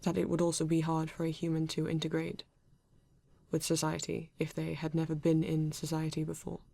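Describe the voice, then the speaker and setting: A woman voicing a polite, formal male android detective in an ASMR roleplay, with a British accent and stiff, measured phrasing. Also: deep voice, completely flat delivery, gravelly, dark tone, dry recording. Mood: pensive, measured.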